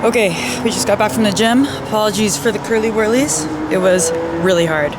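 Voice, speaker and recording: A woman's voice: medium pitch, fairly quick. Canadian woman vlogger, native Hebrew speaker, casual chat, traffic noise behind. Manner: chatty, laughs easily. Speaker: vlogger, nurse. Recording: noisy street and car background.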